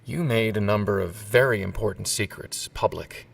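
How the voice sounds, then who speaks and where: Gravelly, imperious boss. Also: male voice, on the low side, normal speed. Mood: commanding. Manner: commanding, pointed questioning. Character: imperious, impatient powerful male boss, coolly menacing. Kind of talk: sci-fi audio drama acting.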